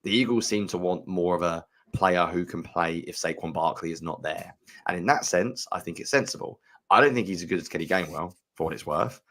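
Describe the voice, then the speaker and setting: A man's voice: deep voice, brisk, a bit bright. A man co-hosting a sports podcast, giving frank, opinionated analysis and thinking aloud with fillers. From United Kingdom. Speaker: podcast co-host.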